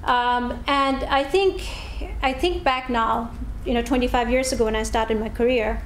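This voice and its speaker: A woman from Bangladesh in her forties speaks at a lecture, her voice sitting fairly high. She talks in a chatty, storytelling way, full of restarts and "you know". The sound carries an echoey hall with a faint hum behind it. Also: steady tone, normal speed. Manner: chatty, warm storytelling with many restarts and fillers. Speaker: guest speaker. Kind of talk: public talk. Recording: echoey room recording.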